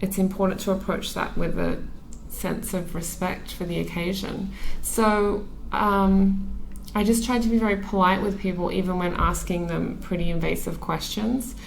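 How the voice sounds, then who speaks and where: A woman from Australia speaks as a podcast guest in a lowish voice with plenty of vocal fry. She talks thoughtfully and earnestly, often pausing on um and restarting her sentences. A faint hiss of noise sits behind her. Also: little pitch movement, rough recording. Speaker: interview guest, magazine editor. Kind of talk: relaxed conversational interview.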